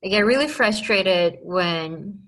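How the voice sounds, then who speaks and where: Bright-voiced earnest young woman. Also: mid-range voice, animated, takes it slowly, rough recording. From China. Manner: earnest, with frequent restarts. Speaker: guest. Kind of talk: casual podcast interview.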